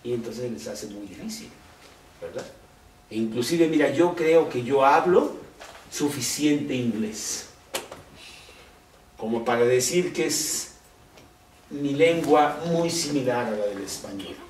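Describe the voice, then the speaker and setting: A man gives a sermon at an unhurried pace, and his pitch rises and falls a lot as he asks his listeners question after question. He sounds set back from the microphone in a roomy, echoing space. A faint hiss runs underneath. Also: lively intonation, darkish tone, recorded from a distance. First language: Spanish. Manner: slow, conversational, with rhetorical questions. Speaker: preacher. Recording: roomy echo, off-mic, faint background noise.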